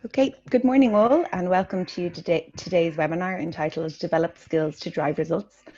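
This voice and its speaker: A woman hosting a business webinar on a video call, giving brisk, formal introductions. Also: fairly low pitch, a little mellow. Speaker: webinar host. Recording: muffled lo-fi call audio.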